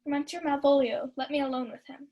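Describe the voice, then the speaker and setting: A young woman playing a shrewd, mischievous household servant in a Shakespeare radio play, brisk and conspiratorial. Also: high-pitched, steady tone, muffled recording, lightly acted. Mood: scheming. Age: young adult.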